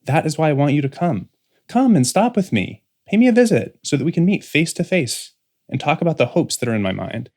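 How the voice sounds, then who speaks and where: A man's voice: on the high side, very animated, brisk, darkish tone. A man playing an earnest, restless, rebellious grown son who speaks insistently in a full-cast science fiction audiobook. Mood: defiant, insistent. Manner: insistent, intense, pleading.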